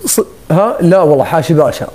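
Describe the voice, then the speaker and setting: A man's voice: fairly high pitch, lively intonation, fast talker, heavy background noise. A man hosting a casual live stream on his phone, teasing and joking with his guests. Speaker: livestream host.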